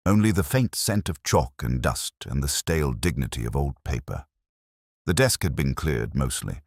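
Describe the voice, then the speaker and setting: Deep male narrator, dry close sound, measured and reflective, lively intonation, scripted mystery read. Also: no echo.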